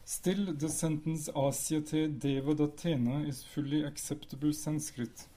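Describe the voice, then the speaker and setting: Man, Norwegian native, measured lecturer, mellow tone, slightly high voice. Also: fairly even intonation. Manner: measured, explanatory, with pauses and fillers. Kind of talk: academic lecture.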